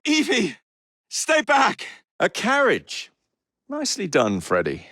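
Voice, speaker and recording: A brash young English assassin for a video game, his delivery swinging widely in pitch. He is roguish and sardonic, with a theatrical streak. The sound is close and dry. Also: wildly swooping pitch, slow, bright, forceful tone, dry recording, heavily acted. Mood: urgent shouting. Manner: wry, cheeky, theatrical.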